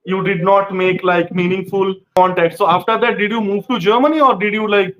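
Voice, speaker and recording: A man with a noticeably high voice hosts the interview. He is chatty and talks at length, with frequent fillers. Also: steady tone, brisk, highs cut off. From West Bengal, India. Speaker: interviewer, host. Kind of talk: casual interview.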